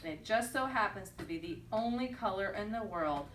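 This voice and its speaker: Bright-voiced woman teacher reading aloud. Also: medium pitch, lively intonation, fairly quick. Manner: encouraging, questioning, echoes children's answers. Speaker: teacher reading a picture book aloud to children. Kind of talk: storybook read-aloud with conversational questions to children. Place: echoey indoor room with children. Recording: echoey room, distant mic, faint noise.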